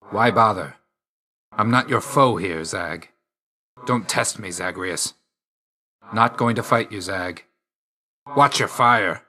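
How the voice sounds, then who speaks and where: A man playing a grim, aloof god of death in a video game, terse and deadpan, stern yet grudgingly loyal. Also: fairly low pitch, full character voice. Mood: irritated, curt. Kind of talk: scripted game character lines.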